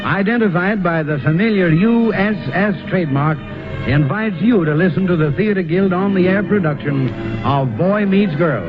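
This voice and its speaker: Formal male 1940s radio announcer, high for a man, dull vintage sound. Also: moderate pace, music in the background. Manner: formal, declarative.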